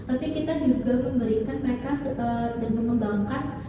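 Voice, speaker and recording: A woman's voice: fairly high pitch, moderate pace. A young woman student with a Javanese accent, answering interview questions hesitantly with many fillers and restarts. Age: young adult. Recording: distant echoey dull recording with noise.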